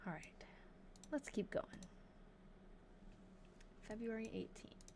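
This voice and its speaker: A young woman with a New England accent, chatting casually on a live stream as she reads an old diary aloud slowly and thinks out loud. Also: on the high side, expressive, whispered. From New Hampshire. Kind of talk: casual livestream chat and slow diary reading.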